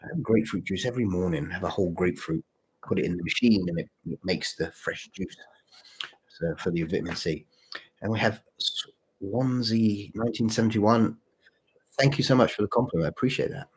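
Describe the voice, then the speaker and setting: Male streamer, fairly deep and rough-edged voice with a touch of sore throat, halting, informal, repetitive chat. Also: poor audio. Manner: halting, repeats phrases. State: mild sore throat, voice deeper than usual. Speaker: channel host and story writer. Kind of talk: casual livestream chat with viewers.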